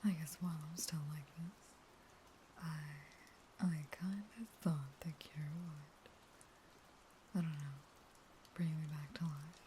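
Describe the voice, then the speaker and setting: A woman playing a manipulative, unstable vampire wife in an intimate audio roleplay, speaking in halting, whispered pleas. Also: low-pitched, fairly even intonation, dark tone, a little hiss or hum, poor audio. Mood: shaken, remorseful.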